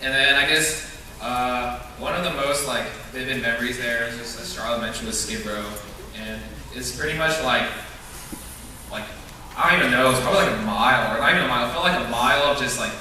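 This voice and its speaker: A young man from a church youth group sharing memories of a mission trip in a halting way, full of filler words. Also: medium pitch, sharp tone. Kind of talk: informal testimony to a church group. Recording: echoey hall, distant mic, some noise.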